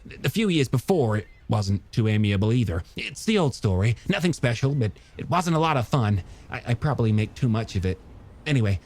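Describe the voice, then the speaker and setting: A man voicing an eager, chatty, self-deprecating younger man in an audiobook, with halting, animated delivery. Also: medium pitch. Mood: frank, wry. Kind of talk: audiobook character dialogue.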